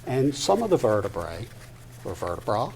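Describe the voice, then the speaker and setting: An older man giving a personal testimony to an audience at a live event, halting and deliberate, pausing mid-sentence. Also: lowish voice, sing-song, gravelly, darkish tone, rough recording. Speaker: guest speaker at a patient discussion event. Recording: noticeable background noise, live event.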